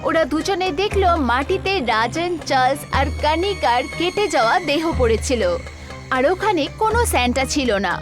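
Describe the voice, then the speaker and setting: A woman's voice: high voice, animated, normal speed, over music. A woman narrator reading a scripted horror story.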